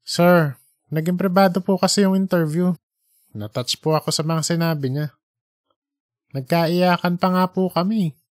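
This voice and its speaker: A man's voice: on the high side, dry recording, clean studio sound, close to an everyday voice. A man voicing an earnest young reporter who speaks politely and hesitantly, pleading with his boss in an audiobook story.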